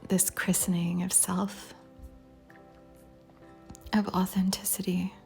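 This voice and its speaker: Female meditation narrator: low-leaning, near-whispered and soothing, unhurried with long pauses, faint music underneath. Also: level tone. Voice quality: soft, breathy near-whisper. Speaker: meditation guide. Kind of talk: guided meditation. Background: soft music.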